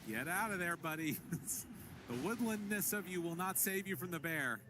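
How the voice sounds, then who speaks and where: Higher-pitched man calling esports, noisy backdrop. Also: expressive, normal speed. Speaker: esports play-by-play commentator. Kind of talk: live esports game commentary. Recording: clear background noise behind voices.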